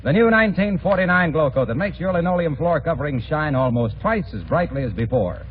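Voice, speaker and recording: An American man sells with emphatic enthusiasm in the style of a 1940s radio announcer. His fairly high voice swings widely in pitch. The old recording is dull, with slight noise. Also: expressive, brisk. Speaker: commercial announcer.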